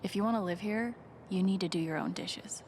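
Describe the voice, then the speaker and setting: An actress playing a young woman in a video game, wry and wistful, musing quietly to herself. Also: brisk, a little hiss or hum, lightly acted. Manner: low-key, musing to herself. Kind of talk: naturalistic video game voice acting, inner monologue.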